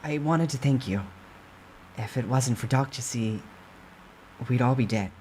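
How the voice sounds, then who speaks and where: A man's voice: medium pitch, measured pace, dark tone, slight background noise, lightly acted, rough recording. A man voicing a humble young soldier in a storybook reading, polite, sincere and a little hesitant. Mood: grateful, ashamed. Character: earnest, courteous, humble young male soldier.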